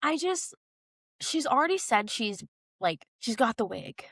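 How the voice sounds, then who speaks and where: A woman's voice: on the high side, very animated, unhurried, fairly bright tone, husky, dry recording, studio quality. A young woman co-hosting a podcast, chatting casually about pop culture in slangy, conversational language. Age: young adult. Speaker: podcast co-host.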